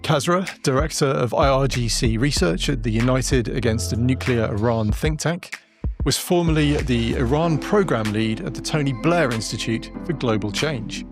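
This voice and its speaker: A man co-hosting a podcast, reading the show's introduction in a clear, announcer-like way. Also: medium pitch, normal speed, music in the background. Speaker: podcast co-host. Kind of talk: scripted show intro.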